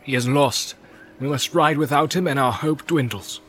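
A man's voice: mid-range voice, moderate pace, fairly bright tone, faint music behind, close to an everyday voice. A man voicing a grim, blunt young warrior lord speaking with resignation.

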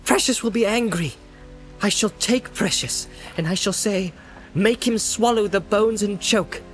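A man voicing an earnest young male hobbit in an audiobook, pleading and coaxing with gentle, firm command. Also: high voice, a little slow, faint music behind, pitched above the performer's own voice. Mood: desperate, urgent. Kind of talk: audiobook character voice.

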